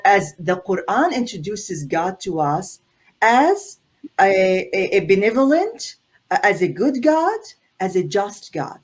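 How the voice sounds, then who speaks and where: A middle-aged woman from Syria, explaining her reasoning as a guest on a video call, earnest and emphatic, often repeating words as she builds a point. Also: medium pitch. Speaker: guest scholar. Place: indoor room on a video call. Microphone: laptop or webcam mic on a video call.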